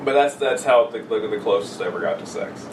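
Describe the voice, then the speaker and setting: A man's voice: mid-range voice, brisk. A young man chatting casually with friends as a podcast co-host, joking in a crude, profane way. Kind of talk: casual chat among friends. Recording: roomy, distant mic, noisy background.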